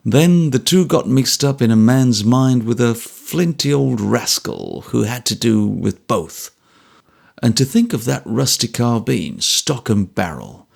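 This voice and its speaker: A man's voice: medium pitch, creaky, darkish tone, heavily acted. A man playing a kindly, troubled former trooper who talks earnestly and a little apologetically. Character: troubled ex-soldier, big, gruff and kindly.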